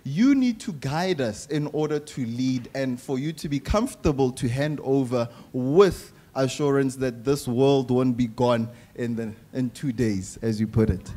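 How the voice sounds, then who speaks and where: Earnest young man, mellow voice. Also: medium pitch. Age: young adult. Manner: earnest, pleading, measured. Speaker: youth panelist. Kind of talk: panel discussion.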